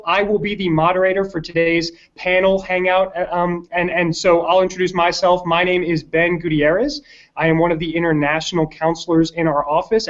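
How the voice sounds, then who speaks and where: A man working as an admissions counselor, moderating a student panel on a video call, hesitant with many fillers. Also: a little high. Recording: dull lo-fi video call audio.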